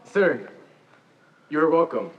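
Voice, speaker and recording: A teenage boy on stage playing a stern, orderly hospital governor who speaks formally and deliberately. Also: fairly high pitch, very animated, highs cut off. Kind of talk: high school stage play acting. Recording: echoey hall, clearly noisy.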